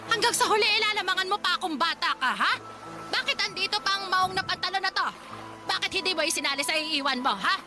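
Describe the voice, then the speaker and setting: Bitter old Filipino lola, high-pitched, nagging and sarcastic, radio drama over prominent music. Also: female voice, lively intonation, quick pace. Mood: accusing, scornful. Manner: scolding, accusing, sarcastic. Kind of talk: Tagalog radio drama acting.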